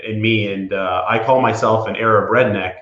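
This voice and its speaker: A man's voice: lowish voice, steady tone, moderate pace, a bit bright, echoey room, lo-fi sound. A man from the Florida Panhandle, an attorney speaking as an interview guest, talking casually with many fillers and restarts. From Florida Panhandle, USA. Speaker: property insurance attorney, guest.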